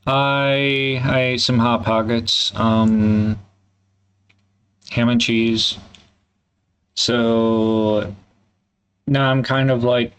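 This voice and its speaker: A man in a candid solo vlog, thinking aloud in a halting, hesitant way and swearing casually. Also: mid-range voice, steady tone, fairly bright tone, clear, resonant tone. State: congested. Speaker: vlogger.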